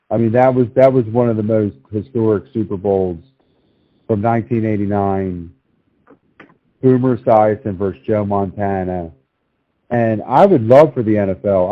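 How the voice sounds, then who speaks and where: A man hosting a casual sports talk show, chatting about picks over a narrow, tinny line. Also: lowish voice.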